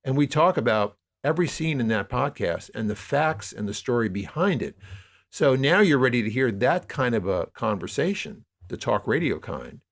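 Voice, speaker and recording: A man speaking as an interview guest, explaining his production work conversationally and often rephrasing mid-sentence. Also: mid-range voice. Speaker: podcast guest, audio drama producer.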